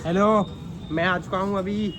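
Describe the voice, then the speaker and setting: A man's voice: high voice, fairly even intonation, measured pace. A young man chatting casually as the vlogger's friend, joking and mocking himself. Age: young adult. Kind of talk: casual chat. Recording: outdoors with loud traffic noise.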